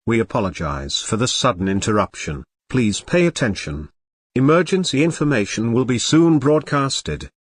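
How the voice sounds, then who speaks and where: Man as emergency broadcast announcer, formal, impersonal, deliberate, very varied pitch, dry close sound. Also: lively intonation, breathy edge, no room sound. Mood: formal, grave. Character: formal male government emergency alert announcer.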